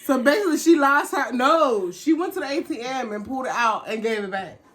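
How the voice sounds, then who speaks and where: A woman vlogger telling a personal story in a casual, animated, slangy chat, acting out what people said. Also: high-pitched, a little fast. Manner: animated, slangy, candid storytelling with acted-out quotes.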